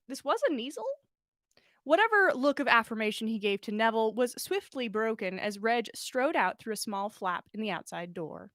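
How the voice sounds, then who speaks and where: Female storyteller for a read-aloud podcast: higher voice, expressive narration, close dry sound. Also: no echo. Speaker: podcast host reading listener fan fiction.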